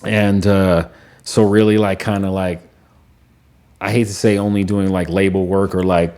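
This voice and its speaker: A young man with a fairly low voice, chatting loosely about his work. He rambles, circles back and leans on filler words. His tone stays easygoing and unhurried. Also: little pitch movement, a little mellow. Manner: laid-back, rambling, heavy use of "like". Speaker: music producer and engineer. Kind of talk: casual podcast chat.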